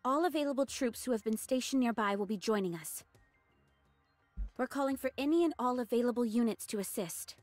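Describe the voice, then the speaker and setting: Actress as a confident female squad commander, decisive, higher-pitched voice, anime game, background music. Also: animated, fairly quick, darkish tone, no room sound, lightly acted. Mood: commanding. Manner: firm, decisive orders. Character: resolute android squad leader woman, commanding and confident.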